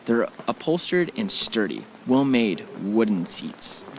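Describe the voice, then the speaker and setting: A man's voice: fairly low pitch, big pitch swings, slow pace, heavily acted. A man playing an easygoing, affectionate husband on vacation in an audio drama, speaking casually with a joking manner. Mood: presenting. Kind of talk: audio drama acting, conversational. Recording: faint background noise.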